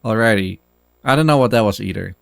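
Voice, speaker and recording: A man's voice: on the low side, fairly quick, vocal fry, a bit bright, a little hiss or hum. A man giving casual, rambling live commentary as he speedruns a game, with a self-deprecating manner. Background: game music.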